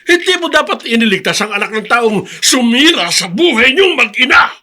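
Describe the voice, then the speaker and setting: Shrill, angry old Filipino grandfather. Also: very animated, moderate pace, sharp tone, full character voice. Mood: furious scolding. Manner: scolding, indignant. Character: angry, stubborn old grandfather holding a family grudge. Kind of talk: Filipino radio drama acting.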